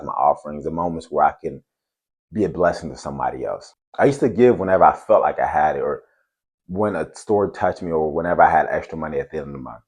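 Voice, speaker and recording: A man from Cameroon hosting a faith and finance channel, giving an earnest, steady talk to camera. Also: extremely low pitch, breathy edge.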